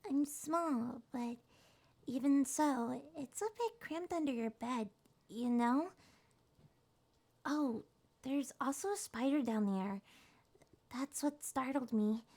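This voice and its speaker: A woman voicing a small, shy, gentle monster girl in an ASMR roleplay, speaking softly, hesitantly and reassuringly. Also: sits high, slow, long pauses, full character voice. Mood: timid, relieved. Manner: hesitant, soft-spoken, reassuring.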